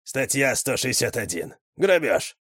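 A man's voice: mid-range voice, very animated, unhurried, fairly bright tone, no echo, clean studio sound, pitched above the performer's own voice. A man voicing a wiry, tattooed, sly male ex-convict who mocks people in prison slang. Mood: wry.

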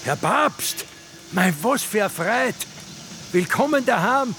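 An actor playing an effusive, servile country caretaker with an Austrian accent and drawn-out greetings in a radio drama. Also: male voice, sits high, expressive, bright, forceful tone, slight background noise, heavily acted. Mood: effusive welcome. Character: effusive, servile rustic caretaker greeting his returning master.

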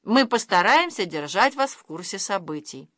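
A woman reading a storybook news bulletin as a slow, formal TV announcer. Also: medium pitch, big pitch swings, fairly bright tone, no echo, clean studio sound. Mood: solemn.

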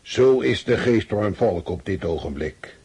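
An actor playing a proud, resolute old Russian commander, speaking gravely and firmly in a radio drama. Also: male voice, on the low side, lively intonation, unhurried, a little mellow, no echo, exaggerated voice. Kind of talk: radio drama letter reading.

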